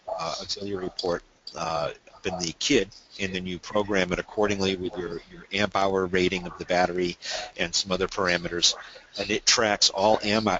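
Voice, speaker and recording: A man's voice: low-pitched, poor audio. A man presenting a technical webinar, explaining things casually and asking questions.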